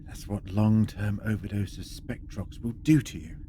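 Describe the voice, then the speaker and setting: Male actor as probing alien time traveller, shrewd, low voice, questioning, audio drama, clearly noisy recording. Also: moderate pace, warm tone, natural voice. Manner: questioning, knowing. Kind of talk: audio sci-fi drama acting. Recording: noisy home-made recording.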